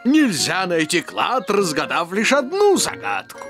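An actor voicing a jolly, kindly elf pirate captain in a children's cartoon, with a theatrical manner. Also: male voice, high voice, wildly swooping pitch, unhurried, a bit bright, faint music behind, exaggerated voice. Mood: playful, mysterious. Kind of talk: Russian-dubbed children's cartoon acting.

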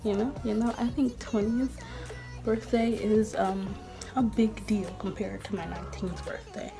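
A woman's voice: mid-range voice, fairly even intonation, measured pace, gravelly, over music. A 19-year-old woman chatting casually in her bedroom while doing her makeup, rambling with lots of "like" and "um". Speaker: beauty vlogger. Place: small bedroom.

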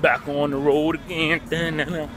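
Teen guy doing a travel vlog, kinda high voice, teasing and playful, music and street noise behind. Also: flat delivery, moderate pace. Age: teenager. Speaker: vlogger. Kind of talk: casual handheld travel vlog chat. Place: on the move, outdoors on streets and aboard transit. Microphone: handheld camera at arm's length.

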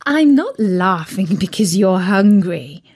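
A woman voicing a calm, patient female dog who explains things slowly. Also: mid-range voice.

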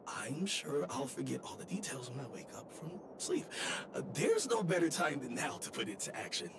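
A man voicing an eccentric, volatile creator obsessed with his work in a video game, talking to himself in a theatrical, excitable way. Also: medium pitch, normal speed, vocal fry, noisy background. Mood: frantic, determined.

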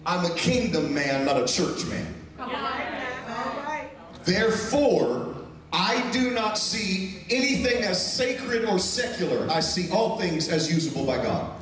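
A man, preaching an energetic sermon with a handheld mic on a large church stage, emphatic and shouting key points. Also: high voice, measured pace, a crowd in the background. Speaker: pastor. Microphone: handheld microphone. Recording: echoey hall, mic set back.

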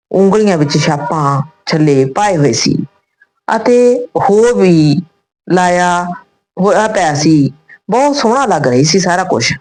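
Female storyteller, low register, reads scripted tales and dialogue phrase by phrase. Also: darkish tone, rough recording.

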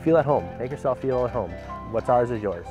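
A man's voice: mid-range voice, expressive, brisk. A young man who runs a family lodge, talking earnestly in an outdoor interview, with fillers and restarts. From Canada. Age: young adult. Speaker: lodge owner. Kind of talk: casual interview. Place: outdoors by a cabin. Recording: interview with loud music under it.

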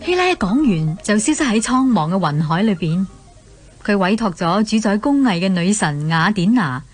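A woman's voice: mid-range voice, no echo. Hong Kong woman narrator, dry studio sound. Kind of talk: scripted radio drama storytelling.

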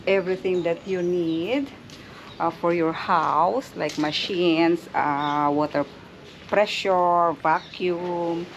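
A woman vlogging casually to her viewers, hesitant with many pauses and fillers, recorded on a camera mic. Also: fairly low pitch, slight background noise. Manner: hesitant, many ums and uhs. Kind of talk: casual vlog talk to camera. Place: outdoors at a rural farm house.

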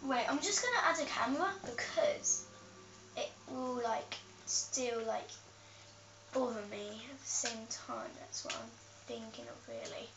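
Slow, hesitant young woman in an echoey room. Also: on the high side. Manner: hesitant, lots of ums and self-corrections. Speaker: gameplay tutorial host. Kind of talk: casual game tutorial. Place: room with a TV, off camera. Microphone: camera filming the TV screen. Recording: echoey, distant, dull, noisy camera audio.

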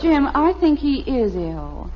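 High-voiced fifties radio sitcom mom. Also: female voice, very animated, slow, a faint crowd, slight background noise, full character voice. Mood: concerned. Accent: American. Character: suburban wife and mother, gently needling, concerned. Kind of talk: 1950s American radio comedy acting. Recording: muffled old radio broadcast.

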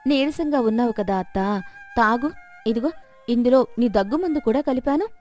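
A woman voicing a greedy, scheming village wife in a moral tale, with animated, sugary-tongued delivery. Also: a little high, moderate pace, faint music behind, lightly acted. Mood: sugary fake sweetness. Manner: exaggerated, animated plotting and fake sweetness.

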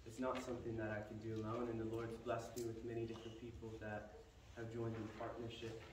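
A young man giving a halting, earnest ministry update in a large church sanctuary, heard from a distant camera with no microphone. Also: on the low side, monotone. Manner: earnest, slow and halting with fillers. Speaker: missionary guest speaker. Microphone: far camera, no microphone. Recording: echoey hall from far off, background hiss.

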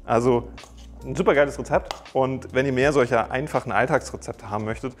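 A young man hosting a cooking video, explaining each step in a friendly, chatty way with small jokes, recorded on a lapel mic in a bright home kitchen. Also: medium pitch, a little fast, faint music behind. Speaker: cooking channel host. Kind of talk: casual cooking tutorial.

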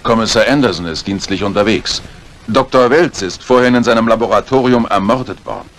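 A man acting a brisk, matter-of-fact police inspector in a 1950s radio mystery, speaking in a businesslike, clipped way. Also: lowish voice, fairly bright tone, a little hiss or hum. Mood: puzzled, official.

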